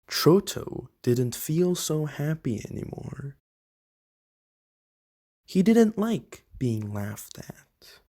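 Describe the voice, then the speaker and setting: Very slow, lilting storybook moose voiced by a reader. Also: medium pitch, very animated, mellow, full character voice. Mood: friendly, gentle. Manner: slow, deliberate, addressing children directly. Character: Alex the Moose, friendly storybook-reading moose of the enchanted woods. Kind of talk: gentle scripted read-aloud for young children.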